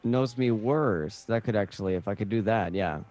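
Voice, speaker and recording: A man's voice: fairly low pitch, normal speed, darkish tone, soft background music. A man who streams games, chatting casually as a player. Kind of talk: casual chat.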